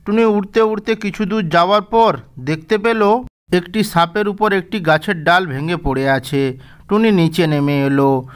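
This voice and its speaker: A man telling a cartoon fairy tale in a measured, gently didactic way. His voice sits high for a man and comes across smooth and resonant. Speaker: storyteller. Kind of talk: scripted storybook narration.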